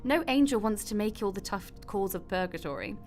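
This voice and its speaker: A woman's voice: medium pitch, lively intonation, brisk, a little mellow, soft background music, close to an everyday voice. A woman in a radio drama playing God as a casual, matter-of-fact, wry mother figure. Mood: explanatory, apologetic.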